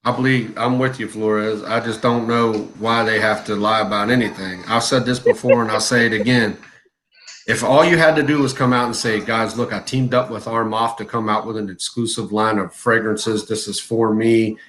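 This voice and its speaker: A man's voice: lowish voice, fairly even intonation, moderate pace, fairly bright tone, low-quality recording. A man in his forties chatting casually on a live stream, laid-back, laughing often and telling long stories. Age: 40s. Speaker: co-host of a live chat stream.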